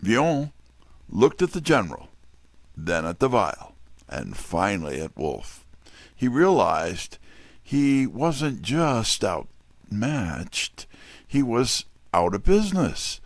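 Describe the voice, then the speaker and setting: Man as a slow, wry detective-story narrator. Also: mid-range voice, very animated, no echo. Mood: wry, measured. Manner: dry, wry, measured. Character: wry male detective's assistant telling the story. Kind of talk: scripted first-person narration.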